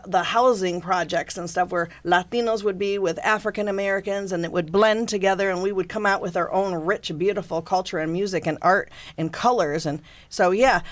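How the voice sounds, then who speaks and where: A woman's voice: mid-range voice, normal speed. A woman from Texas, speaking as a panelist in a conversational interview, explaining with emphatic engagement.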